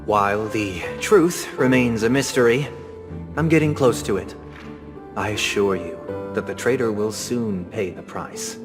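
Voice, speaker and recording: An actor playing a polished, controlled young family head in a video game, formal, pointed and self-assured. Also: male voice, fairly low pitch, unhurried, big-room sound, music in the background, natural voice. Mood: composed, guarded.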